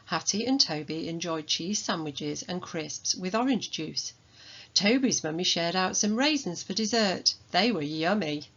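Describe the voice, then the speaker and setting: A woman reading a children's storybook aloud as its author, in an expressive manner. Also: fairly low pitch, unhurried, slightly rough, dull audio. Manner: expressive, acts out dialogue.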